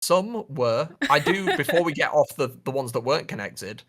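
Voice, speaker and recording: Bright-voiced man chatting casually. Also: a little high, lively intonation, moderate pace. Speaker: podcast co-host. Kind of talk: casual pop culture chat.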